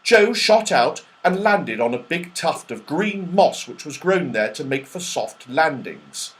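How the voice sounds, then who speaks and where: A man reading a children's story aloud in an expressive, dramatic storytelling manner. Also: fairly high pitch, unhurried. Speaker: audiobook reader.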